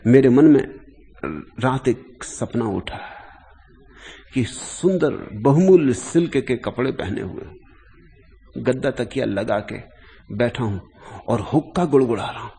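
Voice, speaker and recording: Man as a playful holy man, parable telling, confiding, repetitive coaxing, dark mellow voice, reverberant hall. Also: mid-range voice, slow pace, gravelly, long pauses, slight background noise. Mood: confiding, earnest. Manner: confiding, coaxing, repeating himself. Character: male mystic saint, earnest, playfully confiding in himself and devotee. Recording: long hall reverb on speech.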